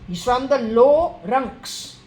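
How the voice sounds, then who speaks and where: A woman's voice: sits high, expressive, takes it slowly, a little mellow, a little hiss or hum. Earnest Indian-accented woman reader. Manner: earnest, addresses an unseen spirit directly. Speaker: tarot and occult reader. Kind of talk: solo spiritual reading, spoken to camera.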